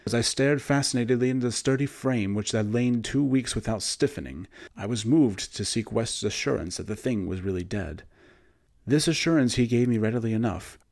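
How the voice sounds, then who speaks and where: A man narrating a horror story as an audiobook reader, in a measured, formal literary manner. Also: medium pitch, a little mellow.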